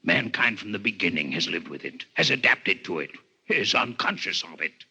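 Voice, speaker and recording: Deep, husky alien doctor, grave and formal. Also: male voice, big pitch swings, pushed and bright, heavily acted. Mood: grave, lecturing. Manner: formal, measured, lecturing. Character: grave alien physician, weakened, coldly intellectual, formal. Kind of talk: 1950s radio drama acting. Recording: 1950s radio broadcast recording.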